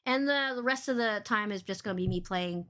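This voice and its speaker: A woman with a big, boomy voice hosts a casual streaming podcast. She rambles cheerfully from topic to topic and swears without a second thought. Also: a little fast, fairly bright tone. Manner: bubbly, swears freely, rambling. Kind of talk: casual livestream podcast chat.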